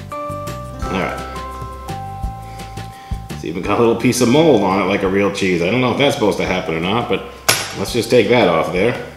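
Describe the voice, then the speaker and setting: Chatty man in an echoey kitchen. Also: medium pitch. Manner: chatty, often tags lines with "right?"; demonstrates food while talking to camera. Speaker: vlog host. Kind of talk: casual kitchen vlog. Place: home kitchen, indoors.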